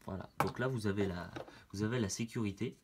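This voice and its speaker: A man's voice: on the low side, fairly quick, slight background noise. A man with a head cold, demonstrating a product in a casual, hesitant way with many fillers. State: has a head cold, stuffy. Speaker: product reviewer. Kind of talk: casual hands-on product demo.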